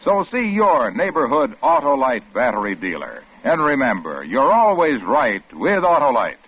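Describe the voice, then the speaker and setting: A man delivering an old-time radio advertising pitch as announcer, with brisk, emphatic salesmanship. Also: wildly swooping pitch, slight background noise. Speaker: commercial announcer. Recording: tinny old radio broadcast.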